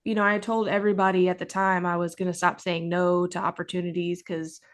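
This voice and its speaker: A woman guest on a podcast, telling a story casually and acting out quoted dialogue with big jumps in her voice. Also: brisk. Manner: animated, acts out quoted dialogue with pitch jumps. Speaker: guest, actress and haunt performer.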